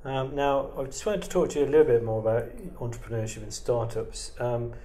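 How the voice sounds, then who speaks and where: A man's voice: medium pitch, a little fast, dark tone, roomy sound. A man interviewing a guest, building long questions full of "um" and "I mean". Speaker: interviewer, editor for an IT institute.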